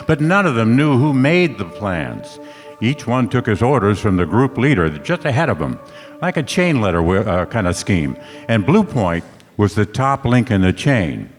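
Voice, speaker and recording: Man acting a tough, animated radio private eye in a hall. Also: mid-range voice, close to an everyday voice. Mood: pressing, grim. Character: tough, wry male private detective. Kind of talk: 1940s radio detective acting, first-person narration. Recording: echoey stage hall.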